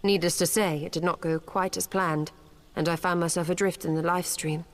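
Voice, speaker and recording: An actress playing a gracious, scholarly female mage in a fantasy video game, speaking in formal, measured, archaic phrases. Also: lowish voice, steady tone, natural voice. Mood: calm, reflective.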